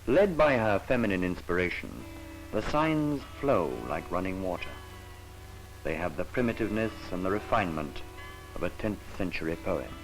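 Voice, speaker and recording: A man narrating a scripted 1950s educational film in a solemn, measured, poetic manner. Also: sits low, expressive, over music. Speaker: documentary narrator. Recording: noisy 1950s archival film soundtrack.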